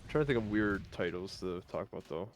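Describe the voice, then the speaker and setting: A man's voice: deep voice, expressive, fairly quick. A man chatting casually as a stream panelist, hesitant as he searches for his words. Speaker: round table panelist. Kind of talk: casual chat about video games.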